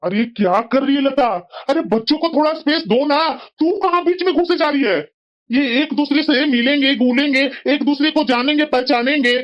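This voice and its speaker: A woman's voice: high voice, normal speed, highs cut off, natural voice. A woman voicing a sensible middle-aged husband in a family moral-story cartoon, scolding and lecturing reproachfully.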